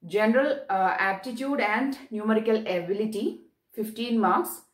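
A young woman with an Indian accent explains things step by step like an instructor, at a middling pitch. She repeats her key points as she goes. The room echoes around her, and the microphone sits some distance away. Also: moderate pace. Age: young adult. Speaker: presenter of an exam guidance channel. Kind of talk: explanatory talk to camera. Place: small bare-walled room indoors. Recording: roomy echo, distant mic.

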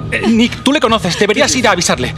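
A man's voice: high-pitched, animated, fast, music in the background. A man in a radio play voicing an anxious young man urgently bringing a warning. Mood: urgent, nervous. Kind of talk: live radio theatre drama.

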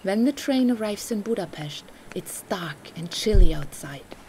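A woman narrating a scripted documentary story in a measured, carefully enunciated way. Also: medium pitch, expressive, warm tone, a little hiss or hum, rough recording.

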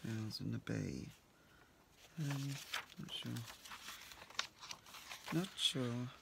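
A man with a croaky voice, chatting casually to himself while he works at a home craft table as host of his crafting channel. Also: medium pitch, lively intonation, drawn-out words, background noise.

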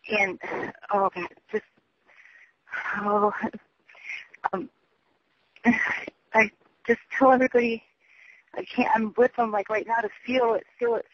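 A person leaving a rambling, urgent voicemail over the phone, repetitive, emphatic and prone to swearing. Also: mid-range voice. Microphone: telephone. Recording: muffled, dull phone voicemail.

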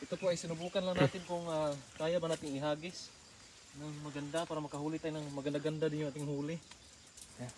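A man's voice: a little high, little pitch movement, fast, a little mellow. A man with a Filipino accent chatting casually as he works outdoors as a fishing livestream host. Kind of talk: casual chat while working. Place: outdoors on a grassy waterside bank. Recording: clearly noisy outdoor phone recording.

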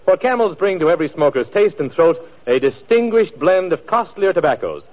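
An American man reading a polished, formal sales pitch as a 1940s radio announcer. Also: sing-song, fairly quick. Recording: thin, muffled old radio broadcast.

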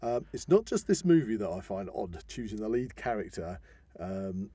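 A man's voice: on the low side, sing-song, normal speed, warm tone, breathy edge, no echo. A man with a British accent chatting casually and jovially as a podcast co-host. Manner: jovial, chuckles often. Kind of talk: casual chat about films.

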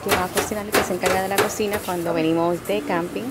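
A woman's voice: mid-range voice, steady tone, fast. A young woman from the Dominican Republic chatting casually through a camping vlog, upbeat and full of fillers, filmed on a handheld camera outdoors. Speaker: vlogger. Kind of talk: casual travel vlog narration. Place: outdoors at a campground and pool. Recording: loud ambient noise and crowd.

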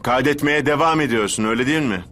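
A man playing a scheming, condescending boss of a villainous organisation in a dubbed anime, smooth and calculating. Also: medium pitch, fast talker, close to an everyday voice. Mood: intrigued, scheming. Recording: loud music behind the voice.

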